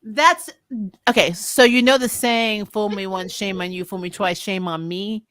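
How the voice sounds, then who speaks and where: A woman's voice: mid-range voice, fairly even intonation, measured pace, a bit bright. A woman co-hosting a casual review livestream, chatting and reading out comments in a teasing way, often laughing. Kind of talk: casual chat, reading out live viewer comments.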